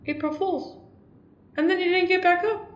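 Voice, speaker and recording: A woman's voice: very high-pitched, fairly even intonation, moderate pace, slight background noise. A woman playing a naive, earnest spiritual seeker from a sheltered sanctuary, acting dramatically in an improvised comedy radio play. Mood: distressed, defensive. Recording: echoey room.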